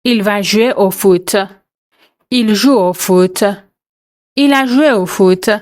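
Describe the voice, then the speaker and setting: A woman reading out a French quiz for learners, pronouncing each answer choice and explanation in a measured, scripted way. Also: medium pitch, darkish tone. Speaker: French teacher.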